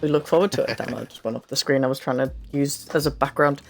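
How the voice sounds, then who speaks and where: A man's voice: mid-range voice, steady tone, quick pace, vocal fry, faint music behind. A man hosting a casual racing podcast chat, groaning and laughing as he hunts for quiz answers. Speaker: podcast host.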